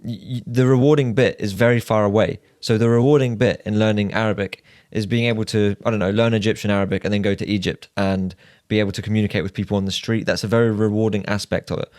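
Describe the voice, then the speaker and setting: A man with a fairly low voice talks through his advice in clear, numbered points, like a co-host steering a casual podcast chat. The sound is dry and close, with no room echo. He keeps things orderly and practical. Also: steady tone, fast talker, a little mellow. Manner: structured, advising, lays out numbered points.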